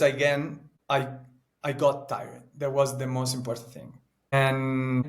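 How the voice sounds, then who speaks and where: A man in his thirties from Italy, speaking English with an Italian accent as an interview guest, earnest and reflective, often restarting his sentences. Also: mid-range voice. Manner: earnest, searching for words, frequent restarts and "no?" tags. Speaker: guest, actor and painter.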